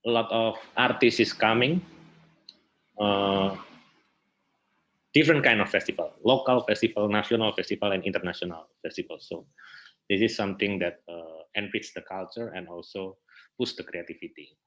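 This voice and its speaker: Male interview guest, Indonesian accent, very low but bright voice, feeling his way through halting sentences. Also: rough recording. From Indonesia, north coast of Java. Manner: hesitant, halting phrasing with repeated words. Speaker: interview guest, theatre artist and festival director. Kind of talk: informal interview answers.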